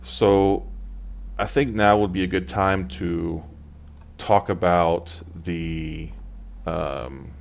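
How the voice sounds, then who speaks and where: A man's voice: sits low, steady tone, drawn-out words, creaky. A man presenting exam advice in an informal tutorial style, talking through slides.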